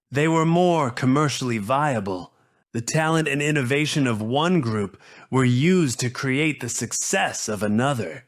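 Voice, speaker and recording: A male narrator delivers a scripted documentary essay at an unhurried, slow pace. His mid-pitched voice is earnest and dramatic, setting out short rhetorical phrases with weight. The recording is close and dry, with no room sound. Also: no room sound. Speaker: narrator and host of a video essay channel.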